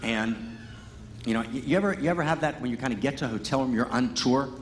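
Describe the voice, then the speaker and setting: A man's voice: medium pitch, quick pace, slight background noise. Chatty man preaching in an echoey hall. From Pittsfield, Massachusetts, US. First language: Hebrew. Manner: conversational, peppered with "you know" and "right?", building to emphatic passages. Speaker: guest teacher at a Sunday service. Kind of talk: sermon told as humorous personal story. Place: large church hall. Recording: echoey church hall with faint ambience.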